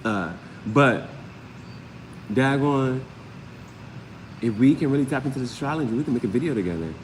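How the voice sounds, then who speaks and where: A man's voice: medium pitch, moderate pace. A man giving a casual, off-the-cuff live tarot reading as host, often repeating phrases and peppering his talk with slang and sound words. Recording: roomy long reverb, clear steady background noise.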